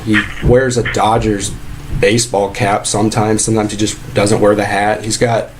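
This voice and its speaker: A man acting as an aggrieved fast-food worker with a fairly low voice, lodging a casual complaint. He rambles and stalls, repeating himself as he goes, over a noticeably noisy recording of a prank call. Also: little pitch movement, natural voice. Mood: earnest, indignant. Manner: rambling, halting, repetitive. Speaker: prank caller posing as a sandwich shop employee.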